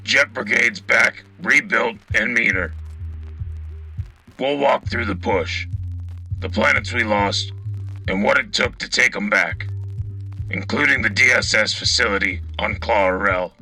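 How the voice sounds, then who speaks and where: An actor as a gruff male soldier reporting in a radio war drama. The voice is low and raspy, full of gravel, with a hard, very sharp edge. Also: a little slow, long pauses, faint music behind, heavily acted, poor audio. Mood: grim, determined. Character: gruff battle-hardened soldier reporting from the front line. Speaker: co-host, field reporter.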